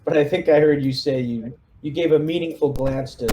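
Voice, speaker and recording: A man's voice: on the high side, steady tone, fairly quick, crowd noise, poor audio. A man chatting casually as a player in a tabletop roleplaying session. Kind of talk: casual game-table chat.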